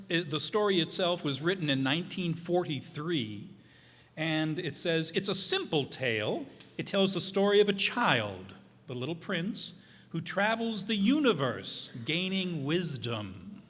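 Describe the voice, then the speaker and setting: A man introducing a show informally, reading from notes in a casual, halting, musing way. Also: fairly high pitch, lively intonation, a bit bright. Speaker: presenter introducing a radio players' show. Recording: echoey hall.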